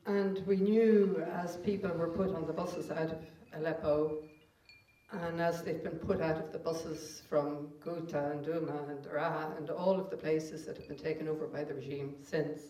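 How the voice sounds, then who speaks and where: A man's voice: on the high side, little pitch movement, normal speed, warm tone. A man on a panel speaking earnestly for an organization, often adding tag questions. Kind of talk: panel discussion. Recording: echoey room from far off.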